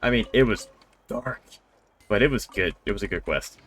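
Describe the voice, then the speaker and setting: A man's voice: on the low side, lively intonation, normal speed, fairly bright tone, no echo. A man chatting casually as a game streamer, rambling in a conversational way. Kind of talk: casual livestream chat. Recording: game music behind voice. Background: video game music.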